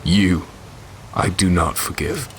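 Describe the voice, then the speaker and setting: Stern, low-voiced warrior king. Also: expressive, slow, music in the background. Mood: hard resolve. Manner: commanding, formal, archaic phrasing. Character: stern, wrathful warrior king granting cold mercy. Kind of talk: fantasy audio drama acting.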